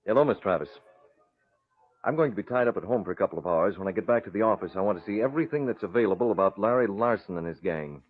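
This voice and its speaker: A man acting a brisk, commanding masked vigilante who runs a newspaper, in vintage radio-drama style. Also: fairly low pitch, animated. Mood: brisk, businesslike. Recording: muffled phone-like old radio recording.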